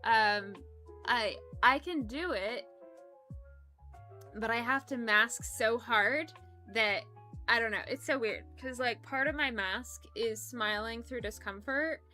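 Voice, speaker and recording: A woman's voice: a little high, slow pace, bright, forceful tone, faint music behind. A young woman streaming, chatting casually with her viewers in a rambling, giggly way that often trips over words. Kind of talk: casual chat with live chat.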